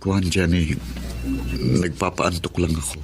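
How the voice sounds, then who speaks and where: A man playing a patient, caring eldest brother in a radio drama, with an earnest, gentle delivery. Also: low-pitched, flat delivery, measured pace, music in the background. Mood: subdued, reassuring. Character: self-sacrificing eldest brother, tricycle driver, patient and caring. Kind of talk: Filipino radio drama acting.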